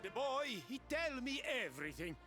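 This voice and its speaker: Animated Italian merchant father. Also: very high-pitched, normal speed, music in the background. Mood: earnest, apologetic. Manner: expressive, courtly, broken English. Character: grateful, dramatic Italian-styled merchant father. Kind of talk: video game voice acting.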